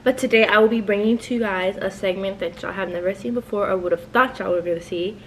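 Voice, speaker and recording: Brief: young woman vlogger, mid-pitched, loose and talkative, with a light hiss behind her. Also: fast talker. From New York, raised in New Jersey. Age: 20s. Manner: chatty, playful, swears freely. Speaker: channel host. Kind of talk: casual couples Q&A chat.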